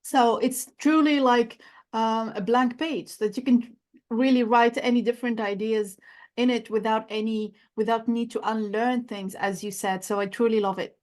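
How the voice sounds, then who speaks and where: Higher-pitched woman, native Arabic speaker. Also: fairly even intonation, moderate pace, darkish tone. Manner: reflective, searching for words with fillers. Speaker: guest coach. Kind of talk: podcast interview.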